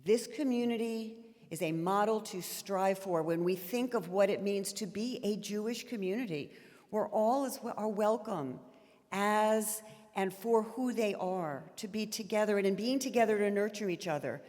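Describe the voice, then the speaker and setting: Woman, 50s, from Miami, earnest and emphatic, heartfelt pauses, prepared speech, long sanctuary reverb. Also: mid-range voice, darkish tone. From Miami, Florida. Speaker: congregational leadership chair giving a speech.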